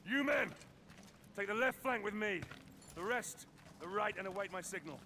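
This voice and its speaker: A man's voice: high-pitched, lively intonation, normal speed, slight background noise, heavily acted. An actor playing a Roman centurion who barks urgent orders to his men in a video game battle. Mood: commanding. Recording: game audio with loud battle ambience.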